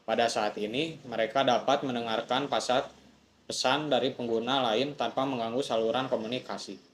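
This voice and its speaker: A young man, a student presenter, reading out a class presentation at an even, steady pace. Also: mid-range voice, moderate pace. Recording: faint background noise.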